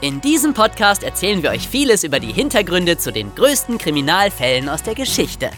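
A man's voice: sits high, sing-song, brisk, a bit bright, faint music behind. A man co-hosting a podcast, presenting and narrating in a scripted, storytelling style. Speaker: podcast co-host.

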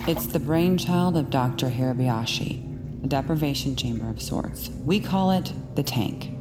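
Menacing institute doctor, extremely low female voice, measured, ominous, heavy music underneath. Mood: cold, clinical. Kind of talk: audio drama acting.

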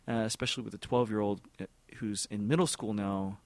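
A man in his forties, an orchestra music director, answering interview questions in a thoughtful, halting way with many repeated words and fillers. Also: lowish voice, steady tone, dry recording.

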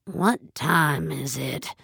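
A woman's voice: deep voice, drawn-out words, creaky, fairly bright tone, husky, no echo, clean studio sound, voice pushed down, exaggerated voice. A woman voicing a stubborn, indignant elderly woman who is snappish and folksy, in an audio fiction story. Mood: groggy.

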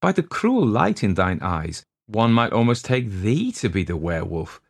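A man voicing a young maiden in love, questioning and easily startled, in expressive archaic storybook speech. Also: on the low side, moderate pace, creaky, slightly rough, heavily acted. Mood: uneasy.

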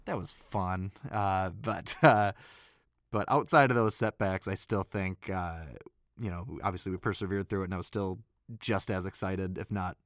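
A man with a fairly low voice chats about recording in a loose, halting way, stopping often to laugh. The sound is dry and close, with no room echo at all. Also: fairly even intonation, a bit bright. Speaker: voice actor. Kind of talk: casual chat.

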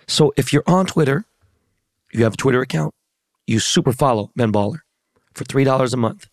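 A hoarse man hosting a podcast in casual chat, talking in slangy, profane, hyped-up street style. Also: mid-range voice, animated, fairly quick, no room sound, studio quality. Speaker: podcast host.

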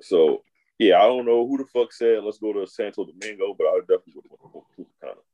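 A man's voice: lowish voice, quick pace, mellow, dull audio. A man co-hosting a podcast, chatting casually and profanely in a laid-back, drawling way. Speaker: podcast co-host.

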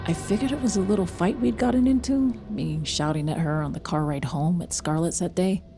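A woman's voice: lowish voice, brisk, lightly acted. An actress playing a haunted, desperate mother in an audio drama, earnest, hesitant and strained. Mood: haunted narration. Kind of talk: audio drama acting with first-person narration. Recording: music under the voice.

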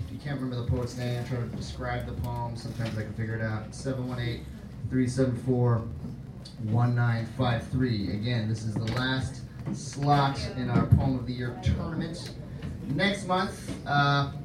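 A man hosting a poetry open mic, making loose, chatty announcements. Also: mid-range voice. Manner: loose, chatty, laughs between lines. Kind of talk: casual announcements. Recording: roomy, distant, noisy venue.

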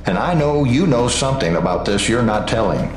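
Actor as pressing, suspicious investigator, expressive. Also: male voice, medium pitch, fairly quick, natural voice. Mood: insistent. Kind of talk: audio drama acting. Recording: roomy echo with music.

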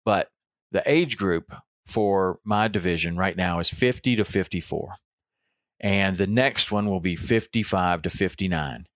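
A man's voice: low voice, measured pace, no room sound, studio quality. A man in his fifties hosting a podcast, talking casually on his own with frequent ums and restarts. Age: 50s. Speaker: podcast host. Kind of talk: casual solo podcast monologue.

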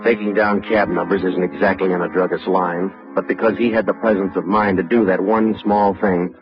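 A man playing a terse, deadpan homicide sergeant who narrates a vintage radio crime drama. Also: low-pitched, normal speed, natural voice. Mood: dry, matter-of-fact. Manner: deadpan, matter-of-fact. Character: terse, dry male police homicide sergeant. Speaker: detective narrator. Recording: muffled vintage radio recording, music behind.

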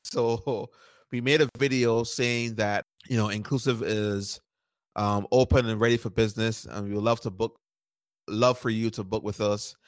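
A man from Barbados in his thirties, guesting on an interview show. His voice sits low, and he rambles easily with lots of fillers and repeated words. The recording is dry and close. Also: moderate pace, no room sound. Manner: relaxed, repeats words and uses many fillers. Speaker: startup founder and podcast guest. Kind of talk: conversational interview answers.

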